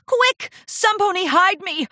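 A woman's voice: extremely high pitch, slow, bright, forceful tone, no room sound, studio quality, voice pushed up, heavily acted. A woman voicing a vain, theatrical fashionista pony for a children's storybook, with refined, affected and easily wounded airs. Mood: panicked.

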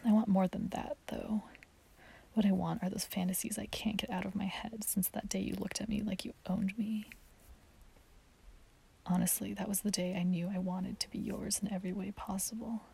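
An actress as a lovestruck woman in an intimate audio roleplay, speaking straight to the listener. Her voice is very breathy and whispered, with a soft, dark tone and a crackle of fry. She sounds hesitant, submissive and pleading. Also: mid-range voice, level tone, normal speed, long pauses, full character voice. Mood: tender, yearning. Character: lovestruck submissive woman confessing longing to a friend.

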